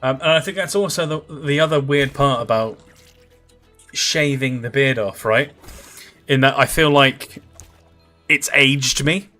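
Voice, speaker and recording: Man, thirties, livestream chatter, filler-heavy, bright voice. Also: mid-range voice, unhurried, pauses often, faint music behind. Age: 30s. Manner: rambling, chatty, filler-heavy, reads out and answers viewer comments. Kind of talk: casual livestream chat while gaming.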